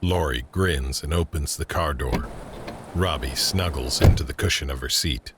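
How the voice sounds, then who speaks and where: A man's voice: rumbling low, normal speed. A man reading scripted, screenplay-like narration for an audio drama. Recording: faint ambient noise under narration.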